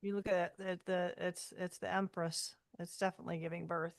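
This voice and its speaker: A woman's voice: fairly low pitch, level tone, a little fast, creaky. A woman co-hosting a podcast in casual chat, speaking in a matter-of-fact, emphatic way. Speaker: co-host.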